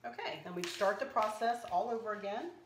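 Slightly hoarse woman crafting at a counter. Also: medium pitch, a little fast, echoey room, a little hiss or hum. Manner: chatty, self-teasing, talks while working. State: a little hoarse. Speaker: craft channel host. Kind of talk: casual craft tutorial. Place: kitchen counter indoors.

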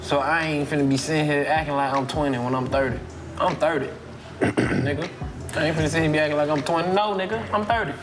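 A man in his late twenties, a podcast co-host, teasing his friends loudly in casual comedic banter. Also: a little high, quick pace, over music. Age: 20s. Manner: loud, animated, teasing.

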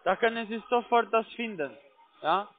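High-pitched foreign-accented man on the phone. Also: animated, normal speed, no echo. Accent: foreign, non-native German. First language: not German. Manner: repetitive, keeps checking with tag questions. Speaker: call center agent posing as IT support. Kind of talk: step-by-step phone instructions. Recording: tinny narrowband phone line.

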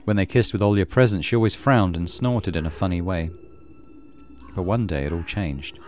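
A man's voice: deep voice, moderate pace, faint music behind. A man hosting a let's play, reading a horror visual novel aloud with dramatic storytelling and muttered asides. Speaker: gaming channel host.

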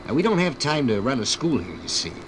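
Casting: male actor as firm no-nonsense boss, 1950s radio drama, animated, noisy old recording. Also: mid-range voice, brisk, natural voice. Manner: firm, businesslike. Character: no-nonsense boss laying down terms to a newcomer. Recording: old radio record, clear background noise.

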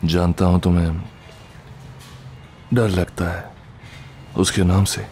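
Huge gentle monster friend, male fandub actor: very deep, earnest, measured, with noisy film ambience behind. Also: flat delivery. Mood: earnest, soothing. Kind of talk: amateur Hindi film fandub acting. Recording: clear background noise with film ambience.

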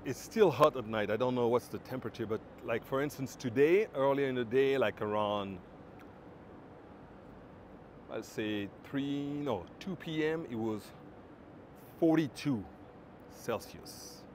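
A man from Congo, chatting easygoing to camera on a smartphone while walking outdoors along a waterfront at night. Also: medium pitch. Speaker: travel vlogger. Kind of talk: casual vlog talk to camera. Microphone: smartphone on a handheld gimbal. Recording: street traffic noise behind.

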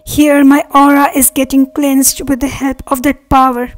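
A woman leading a guided meditation with affirmations, speaking earnestly in measured, separate phrases. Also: high voice, flat delivery. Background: meditation music.

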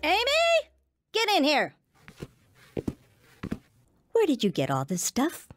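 A woman's voice: sits high, huge pitch swings, slow pace, faint music behind, full character voice. A woman playing a cruel, angry mother in an audio drama, scolding and belittling with heavy sarcasm.

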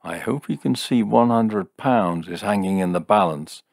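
Guy reading as an angry sarcastic bloke in a story, loud and demanding, low voice, no room sound. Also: a little slow, vocal fry, dry recording, studio quality. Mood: sneering. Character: excitable, indignant, sneering man, a threatening sceptic.

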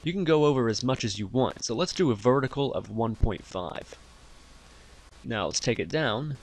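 A man hosting a software tutorial, explaining each step in an instructional way. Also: medium pitch, animated, moderate pace, rough recording. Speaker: tutorial host. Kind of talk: software tutorial narration over screen recording.

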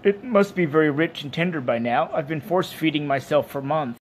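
A man puts on a big, fat bovine creature from a comic storybook. It talks with placid courtesy, like an eager waiter offering the menu. The voice sits a little high, over a clearly noisy recording. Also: brisk, natural voice. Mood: eagerly persuasive. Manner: polite, obliging waiter-like delivery. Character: large, fat, placid talking cow eager to be eaten.

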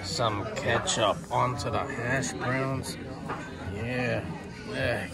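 A man's voice: mid-range voice, fairly even intonation, very slow. A middle-aged man chatting casually for a family vlog, narrating small actions in a laid-back, folksy way. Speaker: vlogger dad filming his family. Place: busy indoor chain diner. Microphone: handheld camera, operator behind it. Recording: loud music and restaurant crowd din.

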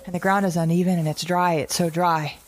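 A woman's voice: fairly low pitch, fairly even intonation, a little fast, slight background noise, natural voice. An actress playing an anxious but defiant mother surviving a wild world in an audio drama monologue, fretful and questioning herself in broken phrases. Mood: agitated, anxious. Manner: fretful, self-questioning, fragmented, repeating herself. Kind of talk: audio drama monologue, recorded-journal narration.

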